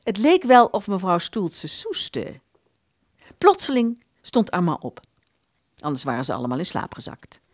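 Expressive, low-pitched woman narrator. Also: measured pace, no room sound, clean studio sound. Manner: expressive storytelling. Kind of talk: scripted literary narration for a radio play.

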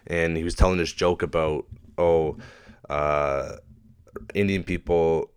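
A man's voice: rumbling low, fairly even intonation, takes it slowly, clean tone. A man with a stuffy nose talking into a desk microphone, rambling through a casual solo rant and swearing constantly. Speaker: podcast host. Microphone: desk microphone at a laptop.

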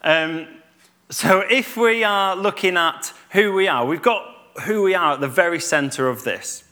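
A man, giving a calm, conversational church talk with fillers and restarts. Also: sits high, a little slow. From Yorkshire, England. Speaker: church speaker.

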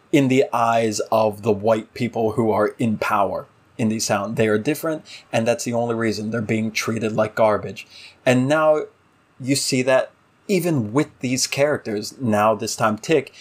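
Earnest man in analytical podcast discussion. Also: on the low side. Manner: earnest, emphatic, builds points by repetition. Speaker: podcast co-host.

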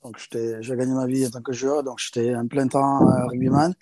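Give me a young man from South Africa, speaking hesitant French in a casual interview, with a mellow, dark tone. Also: medium pitch, flat delivery, fairly quick, no room sound. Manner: hesitant, many fillers and restarts in second-language French. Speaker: interviewee, rugby player.

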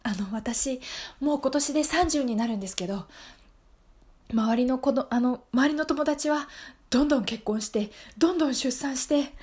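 A woman's voice: high voice, a little mellow. A woman playing an anxious, jealous single woman of nearly thirty in an improvised radio drama, rambling emotionally and apologetically. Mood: anxious, downcast.

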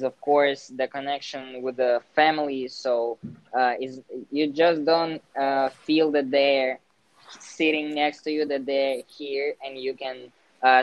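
A teenage man with a Russian accent, a student living abroad, speaking English hesitantly with many pauses and fillers. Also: mid-range voice, highs cut off. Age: teens. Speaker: interviewee, exchange student. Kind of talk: casual conversation.

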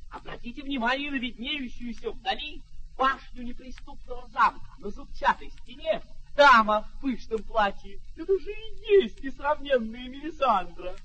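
An actor playing a boastful travelling puppeteer in a radio play, proclaiming ceremoniously like a fairground barker. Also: male voice, extremely high pitch, lively intonation, normal speed, pushed and bright, a little hiss or hum, full character voice, poor audio. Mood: theatrical narrating. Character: showy, boastful male travelling puppet-show master. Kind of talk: mid-century radio theatre acting.

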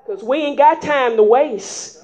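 A woman preaching a sermon in folksy vernacular, rising into shouts and prodding the congregation with repeated calls of "huh?" in a dull lo-fi recording. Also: high voice, measured pace. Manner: emphatic call-and-response preaching with frequent "huh?" prompts.

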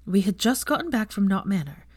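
A woman's voice: mid-range voice, very animated, brisk, a little mellow, no room sound, clean studio sound. A woman reading a story aloud, voicing a frank young woman who answers awkward questions defensively and a bit embarrassed. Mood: sheepish. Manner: defensive, rueful, a little embarrassed. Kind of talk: audiobook character voice.

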